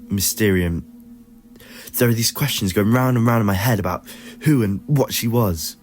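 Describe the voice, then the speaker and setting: A man voicing a troubled, moral time-travelling adventurer who is quick to anger, speaking emphatically in a fan audio drama. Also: lowish voice, faint music behind, voice pushed up, natural voice. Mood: weary, confused. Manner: emphatic, indignant.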